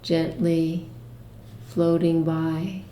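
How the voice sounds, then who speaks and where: A woman leading a guided meditation with imagery, speaking in a gentle, soothing manner with long pauses. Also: low voice, level tone, roomy sound, a little hiss or hum.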